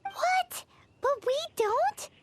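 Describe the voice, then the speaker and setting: A little childlike game companion. The voice is extremely high and squeaky, swooping in pitch. Loud noise sits behind it. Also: female voice, animated, drawn-out words, heavily acted. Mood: startled. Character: tiny, childlike, squeaky floating companion creature. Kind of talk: scripted video game voice acting.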